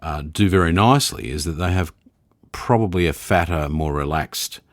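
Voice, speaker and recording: A man hosting a conversational podcast interview, thinking aloud in a halting, searching way. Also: sits low, no echo, clean studio sound. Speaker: podcast host.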